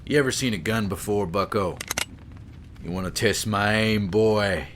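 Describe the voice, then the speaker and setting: Brash gunslinger with a drawl, voiced by a man; low and cocky. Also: moderate pace, a bit bright, slight background noise, natural voice. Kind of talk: audio drama acting.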